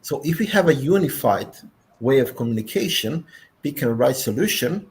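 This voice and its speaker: Man, Croatian accent, native Croatian speaker, panelist, emphatic, technical, average pitch. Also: expressive, normal speed. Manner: technical, emphatic, tags sentences with "yeah?". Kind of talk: panel discussion.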